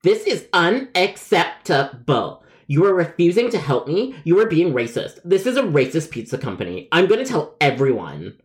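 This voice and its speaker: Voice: mid-range voice, big pitch swings, fairly quick, lightly acted. A man voicing a whiny, melodramatic male customer with a grievance in a comedic phone story. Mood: outraged. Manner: melodramatic, whining, yelling.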